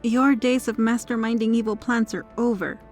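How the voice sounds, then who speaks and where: A woman playing a possessive superheroine with a hidden dark obsession in an ASMR roleplay, her manner controlled and teasing. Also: fairly high pitch, fairly even intonation, moderate pace, faint music behind, close to an everyday voice. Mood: dutiful, noble. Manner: smug, flirtatious, controlled.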